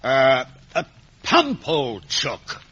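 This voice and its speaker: An actor playing a pompous, bullying older uncle in a declamatory 1950s radio drama style. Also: male voice, medium pitch, wildly swooping pitch, drawn-out words, bright, forceful tone, muffled recording, a little hiss or hum, full character voice. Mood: fussy, deferential. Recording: vintage radio broadcast.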